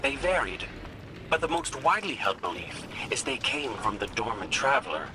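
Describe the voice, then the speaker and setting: An actor voicing a small, bookish robot companion in a video game, formal and precise, gently philosophical. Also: male voice, mid-range voice, sing-song, normal speed, background noise, close to an everyday voice. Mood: scholarly, curious. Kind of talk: dramatic video game dialogue.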